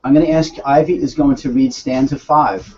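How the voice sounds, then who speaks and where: A man from Brooklyn hosts a school poetry event in an upbeat, chatty way and drops easy slang. His voice is mellow and soft-edged, and the video call audio comes through dull and lo-fi. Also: mid-range voice, fairly even intonation, moderate pace. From Brooklyn, New York. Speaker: teacher hosting a school poetry stream. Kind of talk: casual chat.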